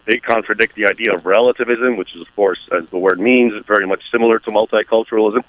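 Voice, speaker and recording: A man from the United States, an author speaking as a radio guest, explaining his view in a measured, emphatic way. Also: lowish voice, fairly even intonation, no room sound, phone-quality sound. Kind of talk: radio interview.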